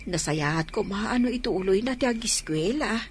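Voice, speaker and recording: A woman's voice: mid-range voice, lively intonation, normal speed, slight background noise, close to an everyday voice. A woman acting a nagging village wife who worries about her family in a radio drama. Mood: complaining.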